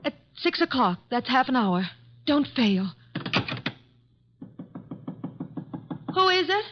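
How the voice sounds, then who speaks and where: A proud, glamorous stage star with a stormy temper in a radio play. Her highish voice is theatrical, insistent and very animated. The vintage recording is muffled. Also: moderate pace. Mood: anxious, urgent. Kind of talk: old-time radio drama acting. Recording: muffled, faintly noisy vintage radio broadcast.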